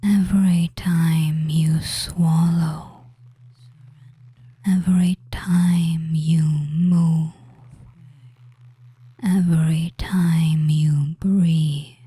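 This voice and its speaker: A performer playing a soothing, commanding witch hypnotist in a breathy whisper, coaxing in short drawn-out phrases with long pauses. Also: mid-range voice, flat delivery, mellow, no echo. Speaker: audio roleplay performer. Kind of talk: whispered hypnosis audio roleplay, slow guided trance.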